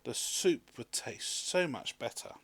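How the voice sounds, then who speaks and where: Storybook wanderer, voiced by a man: tired, hungry, courteous, coaxing, with animated intonation. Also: mid-range voice, slow, no room sound, heavily acted. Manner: polite, coaxing. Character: tired, hungry male traveller, polite and cunningly persuasive.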